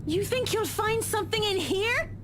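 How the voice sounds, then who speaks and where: Visual novel role: scared, weakened woman, very high animated voice, pleading, exasperated. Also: normal speed, noisy background. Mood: indignant, exasperated. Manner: pleading, indignant. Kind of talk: visual novel game voice acting.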